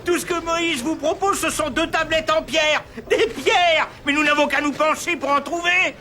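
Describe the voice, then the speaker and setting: An actor voicing a defiant male rabble-rouser in an animated Bible film, heckling with theatrical sneers. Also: very high voice, normal speed. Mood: scornful, defiant. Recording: loud music and crowd behind. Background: music and crowd.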